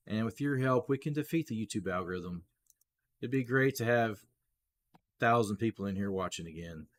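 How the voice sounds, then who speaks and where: A man hosting a casual live play-by-play stream, his voice rough, clearing his throat, talking straight to viewers and rising on big plays. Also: mid-range voice. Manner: chatty, talks straight to viewers, rises excitedly on big plays. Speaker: livestream play-by-play host.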